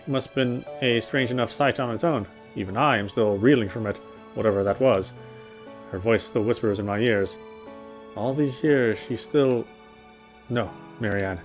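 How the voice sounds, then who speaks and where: Man dramatically reading aloud, lower-middle voice. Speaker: gamer reading the game text aloud. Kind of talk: dramatic read-aloud narration of a visual novel. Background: faint game music.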